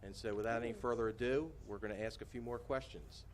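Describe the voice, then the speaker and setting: A man hosting a show speaks in a mid-pitched voice, loose and a little hesitant as he introduces guests. A faint hiss sits behind him. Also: steady tone, quick pace. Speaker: host.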